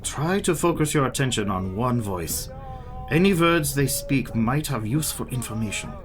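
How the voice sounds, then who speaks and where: A man's voice: mid-range voice, animated, moderate pace, music in the background, natural voice. A man playing an authoritative professor and vampire hunter in a radio play, formal, courteous and commanding. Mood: measured command.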